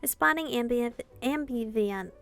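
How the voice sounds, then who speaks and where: A woman's voice: fairly high pitch, moderate pace. A woman reading a visual novel aloud expressively and commenting casually as she plays. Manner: animated reading, reacting informally to the story.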